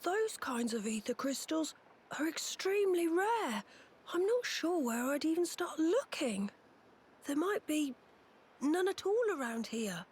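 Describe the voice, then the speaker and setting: High-voiced earnest game youngster. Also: female voice, sing-song, unhurried. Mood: discouraged. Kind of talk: video game voice acting.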